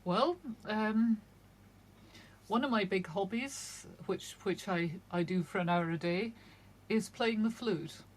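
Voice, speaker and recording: An older woman from Shetland talks easily as an interview guest, spinning playful, wry little stories about her hobbies. Her voice sits at a middling pitch, and her pace is relaxed and conversational. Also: takes it slowly. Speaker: guest, crime novelist. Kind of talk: casual interview chat.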